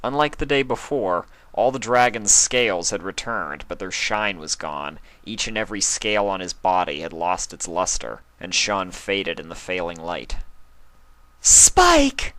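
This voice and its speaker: Male storybook narrator, calm and steady, low voice, dry sound. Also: moderate pace, few pauses, dry recording. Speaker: reader. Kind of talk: fan fiction audiobook narration.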